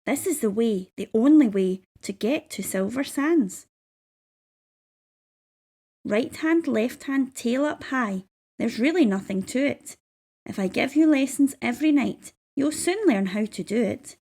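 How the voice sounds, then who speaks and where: A friendly female acrobat from a storybook, voiced by a woman narrator. She sounds fairly high and encouraging, giving instructions in a helpful, confident way. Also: lively intonation, measured pace, mellow, husky, exaggerated voice.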